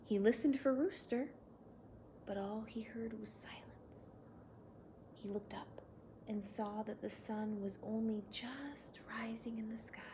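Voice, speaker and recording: A woman hosting a children's read-aloud, telling a bedtime story in a gentle, expressive way. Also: a little high, a little hiss or hum. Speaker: children's story reader and host.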